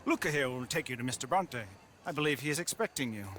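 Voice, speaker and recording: A polite official in a game cinematic. He speaks formally at a medium pitch, with clearly noisy ambience around him. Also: animated, fast, sharp tone. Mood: politely firm. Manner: courteous, formal. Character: polite, formal male official greeting party guests. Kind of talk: video game cinematic acting.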